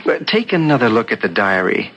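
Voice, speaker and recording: A man playing an earnest writing teacher and amateur sleuth in a 1970s radio drama, polite, halting and eager. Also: fairly low pitch, very animated, fairly bright tone, full character voice. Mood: tentative, earnest. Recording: noisy 1970s radio broadcast recording.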